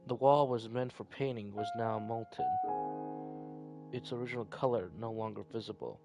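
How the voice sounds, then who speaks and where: A man reading a visual novel story aloud in a deliberate, dramatic narration, as a game player. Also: medium pitch, fairly even intonation, vocal fry, loud music behind the voice.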